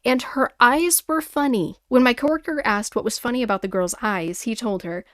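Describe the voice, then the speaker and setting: A woman hosting a podcast, reading listener stories and reacting in an animated, informal, exclamatory way. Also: on the high side, moderate pace, no room sound, clean studio sound. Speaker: podcast host.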